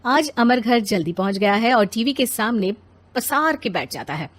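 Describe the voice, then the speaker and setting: A woman's voice: a little high, expressive, normal speed. A woman narrating a scripted children's moral story.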